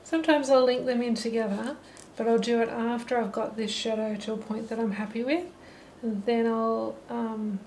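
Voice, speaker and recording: Middle-aged woman, gravelly and steady, talking through her painting in a holiday flat, faint hiss. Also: a little high, normal speed, hardly any pauses. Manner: relaxed, thinking aloud while painting. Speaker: watercolour teacher. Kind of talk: painting tutorial narration. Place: holiday apartment, at a table indoors. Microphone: camera mic above the table.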